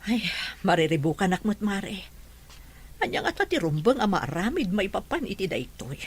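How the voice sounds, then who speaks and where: A woman's voice: mid-range voice, very animated, normal speed, breathy edge. A woman playing a worried, easily alarmed mother in a drama, with a Filipino accent. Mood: troubled. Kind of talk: Ilocano radio drama acting.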